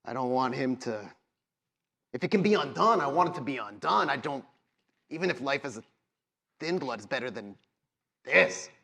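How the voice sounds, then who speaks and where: A man's voice: mid-range voice, lively intonation, moderate pace, creaky, pauses often, bright, forceful tone, heavily acted. A man voicing a defeated vampire captive, bitter and pleading, in a tabletop game. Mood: resigned, bitter.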